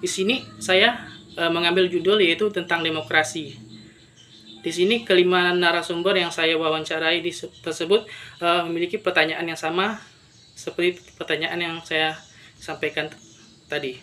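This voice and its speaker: A man's voice: fairly high pitch, fairly even intonation, moderate pace, pushed and bright. A young man, a student presenter giving a prepared introduction. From Indonesia. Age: young adult. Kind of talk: scripted presentation to camera.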